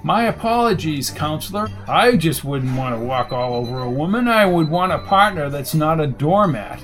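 Man playing a cocky coach, slightly high-voiced. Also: lively intonation, moderate pace, fairly bright tone, faint music behind. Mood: needling, sardonic. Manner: sardonic, probing, confident. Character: Jones, cocky, needling male football coach and amateur sleuth.

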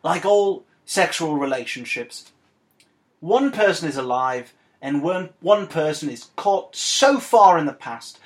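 Man playing a scornful, world-weary dead woman writer, high-pitched. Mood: wry, musing. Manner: deadpan, exasperated, halting with repeated phrases. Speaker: podcast guest host. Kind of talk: comic in-character monologue and dramatic reading.

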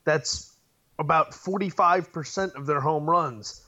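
A man's voice: on the high side, unhurried, pushed and bright, highs cut off. A man co-hosting a sports podcast, talking in an emphatic, opinionated way. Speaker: podcast co-host. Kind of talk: casual sports podcast chat.

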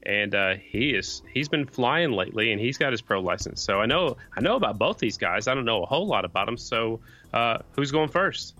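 A man's voice: mid-range voice, animated, brisk, bright, forceful tone, faint music behind. A man hosting a casual podcast interview, easygoing and friendly. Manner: friendly, easygoing, says "right on" a lot. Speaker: podcast host.

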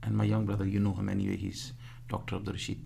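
Hesitant man from Pakistan, gravelly. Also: fairly low pitch, monotone, racing, warm tone, slight background noise. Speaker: guest. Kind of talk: casual interview reminiscence.

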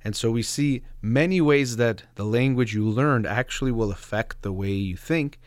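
A man's voice: on the low side, no echo. A man hosting a radio show, talking reflectively on his own in an explanatory, slightly hesitant way, close to the microphone. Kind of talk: reflective solo talk show monologue.

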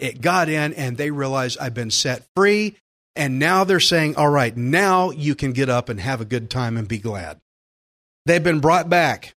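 A man's voice: fairly high pitch, a bit bright. A man preaching a conversational Bible-teaching sermon as a pastor, plainspoken and emphatic, repeating phrases for stress.

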